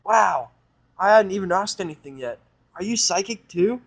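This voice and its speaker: Man doing a brash high-pitched young filly pony. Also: moderate pace, creaky, full character voice. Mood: amazed. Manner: scowling, blunt, excitable.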